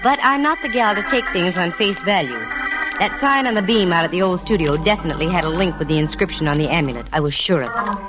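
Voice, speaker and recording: A woman's voice: on the low side, animated, fast talker, natural voice, poor audio. Woman acting nervy female private eye, breezy, slangy, wry, old radio drama, music behind. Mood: wry, brisk. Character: wisecracking, nervy female private eye narrating her case. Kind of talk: 1940s radio detective acting.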